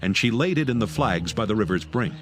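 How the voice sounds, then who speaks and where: Guy narrating the bible, lowish voice, very animated, music under him. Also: brisk. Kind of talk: dramatized scripture narration.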